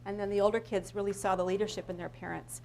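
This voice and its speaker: American lady principal doing an informal talk, mid-pitched voice, kinda rambly, some noise and hum behind her. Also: steady tone, fast talker, gravelly, a little mellow, rough recording. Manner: conversational, rambling, anecdotal, often breaking off and rephrasing. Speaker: elementary school principal giving a talk. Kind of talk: informal live presentation with slides and stories.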